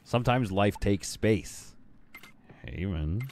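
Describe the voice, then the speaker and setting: A Canadian man in his thirties, streaming a game from a home green-screen setup, joking with chat and talking on a boom mic. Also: slow, creaky, slight background noise. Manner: playful, jokey, lively, swings between loud bursts and quiet asides. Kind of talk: casual livestream chat while building in a game. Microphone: studio mic on a boom arm.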